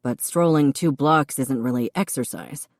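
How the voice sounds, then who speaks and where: A dry-witted, gruff grown man who answers in short, understated lines, pitched low and spoken slowly, as a woman narrator puts him on. The sound is close and dry. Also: no room sound.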